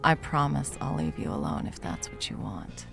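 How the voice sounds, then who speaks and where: A woman playing a confident, flirtatious, protective bartender in an intimate ASMR roleplay, teasing and unhurried. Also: extremely low pitch, big pitch swings, gravelly, over music, heavily acted. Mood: softly inviting. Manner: teasing, unhurried, soft chuckles, dropping toward whispers. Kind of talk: ASMR roleplay, intimate one-sided conversation.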